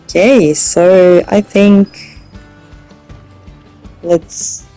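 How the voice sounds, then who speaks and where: A woman's voice: on the low side, fairly even intonation, extremely slow, mellow, faint music behind, rough recording. A woman giving casual let's play commentary, rambling and hesitant as she goes. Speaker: gamer streaming a playthrough.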